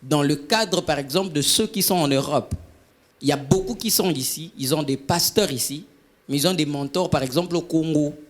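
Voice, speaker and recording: A man's voice: fairly high pitch, moderate pace. A man leading a church discussion on mentoring, asking questions and adding examples in a hesitant way with many fillers. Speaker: interviewer in a church talk.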